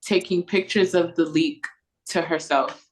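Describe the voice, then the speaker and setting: A woman's voice: lowish voice, steady tone, a little slow, rough recording. A woman reading stage directions as narrator in a scripted read-through over a video call.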